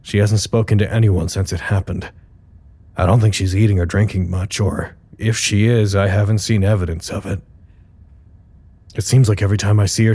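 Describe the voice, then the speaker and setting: Earnest, worried male friend for audio drama: lowish, rough-edged voice, fretful confessional delivery. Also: mellow. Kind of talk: audio drama monologue, naturalistic.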